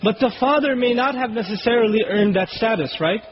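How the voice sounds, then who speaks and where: Male preacher, native Urdu speaker, high voice, teaching Arabic word meanings; dull lo-fi recording with faint hiss. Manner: explains word meanings slowly, recites Quranic Arabic quickly. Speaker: Islamic preacher. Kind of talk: religious lecture.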